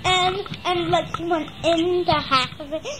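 A woman's voice: extremely high pitch, fairly even intonation, measured pace. A girl answering briefly while a bedtime story is read to her at home. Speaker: child listening. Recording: home tape with hiss.

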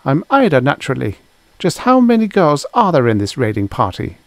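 A feisty young female raider from an adventure audiobook, acted out by a man. The voice is lifted well above a male register, brisk and sharp-tongued yet friendly and chatty, in a close, dry recording. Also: fairly high pitch, wildly swooping pitch, dry recording, voice pushed up. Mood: sharp, haughty. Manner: brisk, indignant whisperer with casual chattiness.

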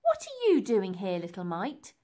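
A woman's voice: medium pitch, huge pitch swings, normal speed, warm tone. A woman voicing tough, hostile street-gang children in a storybook, bossy and confrontational. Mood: threatening.

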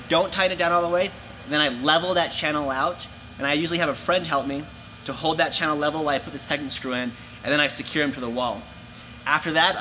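A young man presenting for a company, giving clear step-by-step instructions in a large indoor room. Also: a little high, sharp tone, slight background noise, low-quality recording. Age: young adult. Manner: clear step-by-step explaining with hand gestures. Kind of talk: instructional how-to video.

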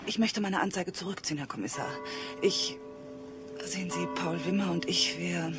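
A woman's voice: fairly low pitch, normal speed, over loud music, lightly acted. A woman playing an earnest, troubled wife in a radio drama, hesitant yet insistent. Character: earnest, troubled wife pleading with a police inspector.

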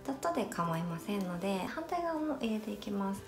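Polite young stylist in a roomy room. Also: female voice, mid-range voice, big pitch swings, brisk. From Niigata, Japan. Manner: polite and explanatory, often talking while working the curling iron. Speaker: hairstylist. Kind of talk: polite tutorial instruction. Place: small bright room indoors. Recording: roomy sound with music behind.

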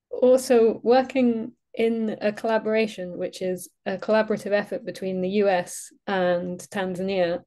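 A British woman in her forties, a neurosurgeon, gives a measured, reflective webinar talk over a video call. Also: mid-range voice, warm tone. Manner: measured, reflective, with frequent ums. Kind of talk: webinar presentation. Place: indoor room, video call.

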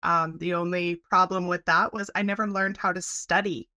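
Bright-voiced Canadian woman guest. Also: lowish voice, fairly even intonation, normal speed. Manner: friendly, laughs often. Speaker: guest, welding program head. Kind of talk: casual interview chat. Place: home room, video call. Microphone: headset.

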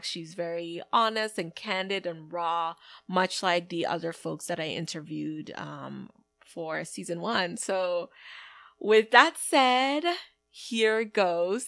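A woman's voice: lowish voice, fairly bright tone. A woman hosting a podcast, introducing her guest in an enthusiastic, gushing way. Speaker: podcast host. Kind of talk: casual podcast intro and interview.

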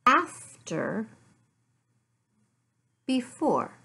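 An American woman in her fifties teaching beginner English, speaking deliberately in short, simple, repeated phrases with pauses, recorded at home on a laptop microphone. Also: fairly high pitch, very animated, gravelly, sharp tone, husky. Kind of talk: beginner language lesson, addressed to learners. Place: small furnished living room at home.

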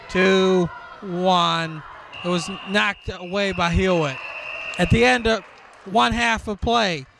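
Male play-by-play caller, high-pitched and unhurried, over a loud school gym crowd. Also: vocal fry, background noise. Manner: slow clipped calls with occasional shouting. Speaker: basketball play-by-play announcer. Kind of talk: live sports commentary. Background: crowd and bouncing ball.

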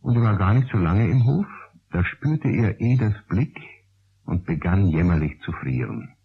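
Deep, husky male storyteller. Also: big pitch swings, normal speed. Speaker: narrator. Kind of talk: storytelling narration for a radio play. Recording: dull old radio play recording.

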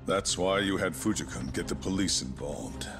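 Deep-voiced, calculating male chief prosecutor. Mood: shrewd, probing. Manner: measured, formal, authoritative. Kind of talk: video game cutscene drama. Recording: music under the dialogue.

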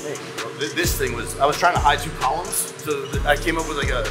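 A man's voice: a little high, fast. A man in his thirties from Texas, a gym owner giving a tour, rambling with lots of like and bro and casual swearing. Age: 30s. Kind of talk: casual chat. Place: driving a pickup truck, then walking indoors. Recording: distant mic with loud music.

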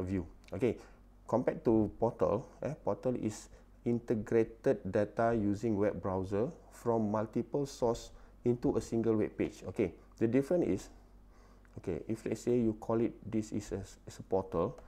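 A man's voice: lowish voice, dark tone. A Malaysian-accented man in his thirties giving an informal slide lecture, repeating points and tagging phrases with "eh" and "okay". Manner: repetitive, with frequent "eh" and "okay" tags.

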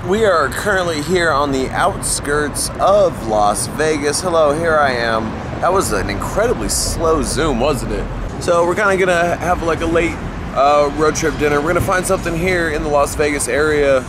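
A man's voice: a little high, animated, normal speed. An American man in his thirties chats casually to the camera in a laid-back, slangy, sweary way. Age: 30s. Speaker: vlogger. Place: outdoors at night at gas stations and parking lots. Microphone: handheld camera at about arm's length. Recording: noisy outdoor roadside, clear background noise.

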